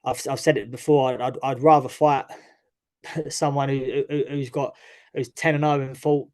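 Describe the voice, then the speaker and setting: Young man, East London accent, casual interview guest, chatty, many restarts, mid-pitched voice. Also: little pitch movement, normal speed, darkish tone. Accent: East London English. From East London, England. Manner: chatty, frequent restarts and "obviously". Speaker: guest, mixed martial arts fighter.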